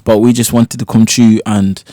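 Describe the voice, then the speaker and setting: A man's voice: fairly low pitch, flat delivery, moderate pace, warm tone, no room sound. A young man from Cork, a guest musician chatting casually in a small radio studio on a desk mic, laid-back and full of slang, often asking "do you get what I mean". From Cork, Ireland. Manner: laid-back, loose slang, keeps checking in with the listener. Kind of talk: casual interview chat. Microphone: studio microphone on a desk stand.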